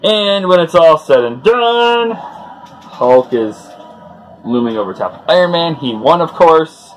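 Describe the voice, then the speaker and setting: A man's voice: on the high side, lively intonation, takes it slowly, low-quality recording. A man hosting a comic read-along, retelling the story off the cuff with informal, chuckling fan enthusiasm and loosely acted dialogue. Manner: acts out dialogue loosely in his own voice.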